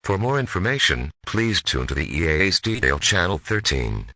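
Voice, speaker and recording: A man's voice: deep voice, big pitch swings, normal speed, pushed and bright, dry recording. A man's synthetic text-to-speech voice reading a scripted emergency broadcast announcement in a formal manner as an alert announcer.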